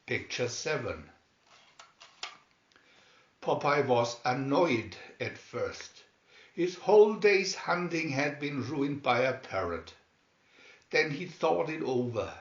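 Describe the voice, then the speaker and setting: Male storybook reader: slow, highly animated delivery, muffled room sound. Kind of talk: animated scripted children's story narration. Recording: dull, roomy home recording.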